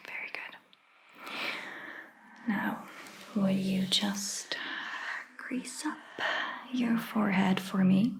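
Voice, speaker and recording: A woman performing a soft-spoken ASMR roleplay as a caring vampire doctor, whispering breathily in a gentle, reassuring manner. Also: mid-range voice, steady tone, creaky. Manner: gentle, reassuring, slow and deliberate.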